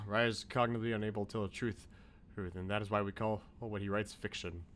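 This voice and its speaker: A man's voice: fairly low pitch, level tone, a little fast, a bit bright, slight background noise. A man streaming a video game, chatting casually with his viewers in rambling asides and reading on-screen text aloud.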